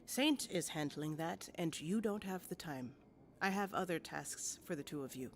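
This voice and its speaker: A woman playing a composed, authoritative commander and mentor in an audio drama, measured, firm and dryly wry. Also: lowish voice, expressive, a little hiss or hum. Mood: calm, firm.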